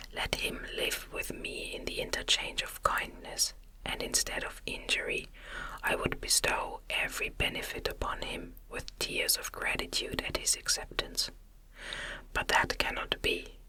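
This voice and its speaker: Female reader as miserable, vengeful male monster of gothic audiobook: slow, formal, bright whisper. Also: rough recording. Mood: wounded longing. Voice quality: breathy unvoiced whisper.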